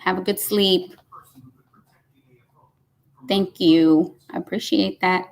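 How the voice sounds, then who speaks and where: A woman's voice: fairly low pitch, fairly even intonation, takes it slowly, creaky. A woman, hosting a casual live stream and chatting folksily as she reads comments aloud. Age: 50s. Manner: chatty and folksy, says y'all.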